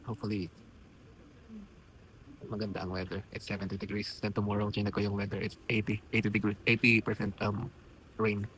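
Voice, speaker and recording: A man's voice: fairly low pitch, level tone, fairly quick, fairly bright tone, slight background noise, low-quality recording. A man with a Filipino accent chatting casually as a travel vlogger, switching between two languages. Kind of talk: casual vlog chat mixing Tagalog and English.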